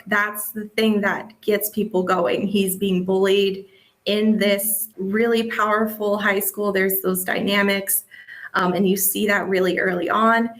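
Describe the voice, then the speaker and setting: A woman presenting an interactive workshop as an editor, talking casually and peppering her explanations with "like" and "you know". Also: mid-range voice.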